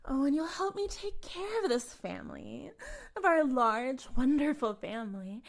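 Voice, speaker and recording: Casting: woman to play a female cult leader captor, sweetly menacing and self-righteous, higher voice, very unhurried, theatrical cooing for intimate audio drama. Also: very animated, full character voice. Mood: rapturous, self-satisfied. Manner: theatrical, cooing, condescending, drifting into breathy whispers. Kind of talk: intimate audio roleplay monologue addressed to the listener.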